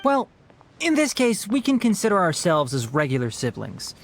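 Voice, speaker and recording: A man playing a dry, gently teasing mentor in a video game, with a wry manner. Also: high voice, wildly swooping pitch, moderate pace, exaggerated voice. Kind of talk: scripted game dialogue acting.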